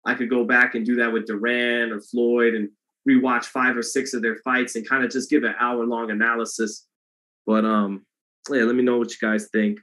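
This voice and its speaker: Monotone male boxing livestream host. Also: mid-range voice, normal speed. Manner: monotone delivery, self-described; loose and rambling, many restarts. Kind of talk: casual livestream chat, reading donations.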